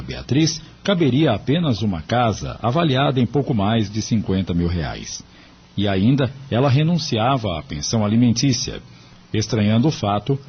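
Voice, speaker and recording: A man narrates an audio novel in a very husky, fairly low voice. His scripted reading moves expressively up and down in pitch. The recording sounds dull and lo-fi.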